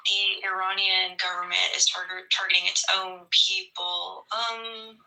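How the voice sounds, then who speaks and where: A woman's voice: lowish voice, level tone, measured pace, pushed and bright, far from the mic, slight background noise, low-quality recording. A woman in a group debate, arguing her point insistently and hedging with fillers when pressed. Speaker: debate participant. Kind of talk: argumentative discussion.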